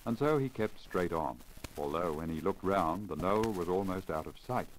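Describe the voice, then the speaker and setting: A man reading a literary story in a measured, formal, carefully enunciated 1950s radio storytelling manner. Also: low-pitched, lively intonation. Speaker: story reader, radio broadcaster. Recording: crackly deteriorated 1950 lacquer disc recording. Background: surface crackle and noise.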